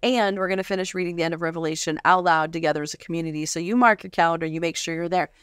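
A woman's voice: on the low side, fairly even intonation, very fast, clear, resonant tone, dry recording. An American woman in her thirties hosting a casual studio interview in an enthusiastic, emphatic way. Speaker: talk show host. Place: indoor TV studio.

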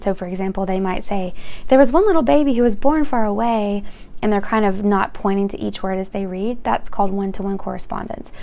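A woman talks through a picture book in the manner of an encouraging teacher. Her voice sits at an average pitch and has a clear gravelly creak. She moves between explaining and reading aloud. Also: a little mellow. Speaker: host of a children's book read-aloud channel, explaining early reading.

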